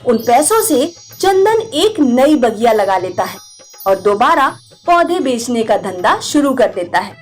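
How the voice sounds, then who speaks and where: High-voiced woman telling a children's tale. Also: big pitch swings, measured pace, faint music behind. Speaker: storyteller. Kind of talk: scripted narration of a children's moral fairy tale.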